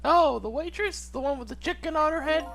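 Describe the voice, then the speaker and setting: A man's voice: very high voice, brisk, a bit bright, soft background music, voice pushed up. A man voicing a chatty, stubborn young woman detective from a video game. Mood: defensive, wry. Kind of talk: video game character dub in a let's play.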